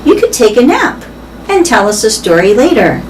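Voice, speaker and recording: A woman voicing a thoughtful, gentle male gorilla who speaks in a reflective, dry, plain way. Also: fairly high pitch, big pitch swings, moderate pace, distant mic, slight background noise, pitched above the performer's own voice, poor audio. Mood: concerned.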